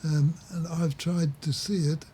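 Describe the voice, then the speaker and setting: A man's voice: on the high side, flat delivery, unhurried, dark tone, slight background noise. An older man with an Australian accent, chatting and reminiscing in a hesitant, understated way. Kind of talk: casual reminiscing chat.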